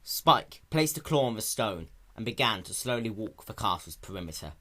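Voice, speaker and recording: A man's voice: fairly low pitch, sing-song, moderate pace, fairly bright tone. A man narrating a piece of fan fiction as a dramatic reading.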